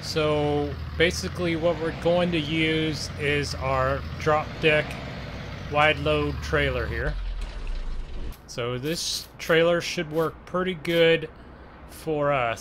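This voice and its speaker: A man hosts a gameplay video in a casual, conversational manner. His voice sits a bit high and he talks very slowly, leaving pauses. Faint vehicle noise runs behind him. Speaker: gaming video host. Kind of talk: casual role-play gameplay commentary.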